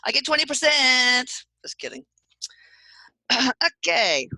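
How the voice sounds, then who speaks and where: A woman's voice: high voice, very animated, slow pace, bright, forceful tone, dry recording. A middle-aged woman hosting a casual chat, reading aloud from notes with joking asides and spelling out names. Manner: chatty, jokey, reads with asides and spelled-out names. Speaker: host.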